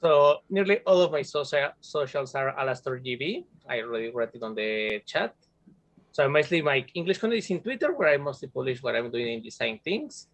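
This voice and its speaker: A man's voice: mid-range voice, sing-song, a little fast, a bit bright, no room sound. A man from Mexico whose first language is Spanish, a game designer guest speaking in a matter-of-fact, wry way. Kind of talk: casual interview talk.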